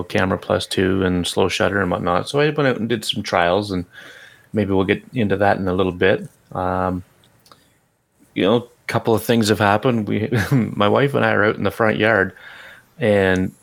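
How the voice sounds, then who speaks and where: A middle-aged man with a low, gravelly voice tells stories in an easygoing podcast chat. He hesitates often, leaning on fillers while he gathers his thoughts, and the fry sits under most of his words. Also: fairly bright tone. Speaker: podcast co-host. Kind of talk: casual chat.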